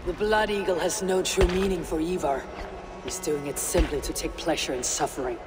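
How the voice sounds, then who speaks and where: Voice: medium pitch, fairly even intonation, moderate pace, over music, natural voice. An actor playing a stern, weary Viking warrior in a video game, blunt and grimly restrained. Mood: disapproving. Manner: terse, restrained, grim. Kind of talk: dramatic video game acting.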